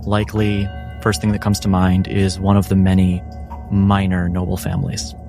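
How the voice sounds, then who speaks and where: A man narrating a tabletop game as game master, in measured, deliberate phrases with dramatic pauses. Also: low voice, monotone, gravelly, dark tone, faint music behind.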